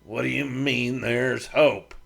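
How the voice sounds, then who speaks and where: Narrator doing a stubborn cowboy, slow low grumble, gravelly, kinda sulky. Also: male voice, fairly even intonation, full character voice. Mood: grumbling. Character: stubborn grumbling frontier man.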